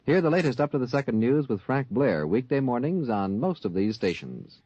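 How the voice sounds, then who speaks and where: A man's voice: mid-range voice, sing-song, a little fast, mellow. A man with an American accent reading scripted announcements in the style of 1950s network radio. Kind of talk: scripted 1950s radio public appeal and promo. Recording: dull old radio transcription.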